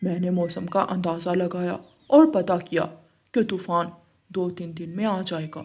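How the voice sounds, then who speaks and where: A woman voicing an earnest old male pigeon who warns others in a children's animated story. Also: lowish voice, unhurried. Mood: earnest warning.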